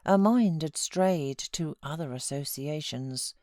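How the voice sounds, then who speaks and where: A woman's voice: deep voice, slow pace, a little mellow, dry recording, studio quality. A woman with a British accent reading a classic novel aloud as a scripted audiobook narration. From Britain. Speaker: audiobook narrator, voiceover artist.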